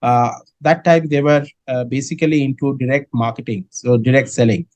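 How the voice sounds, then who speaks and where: A middle-aged man from Kolkata with an Indian English accent, answering interview questions in a measured way with many filler sounds. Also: medium pitch, steady tone, darkish tone, no room sound. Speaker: guest, dairy business head.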